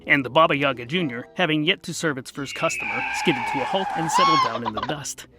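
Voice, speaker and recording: A man's voice: on the high side, wildly swooping pitch, quick pace, bright, forceful tone. A man narrating a scripted comic fantasy story in a wry, emphatic storytelling manner.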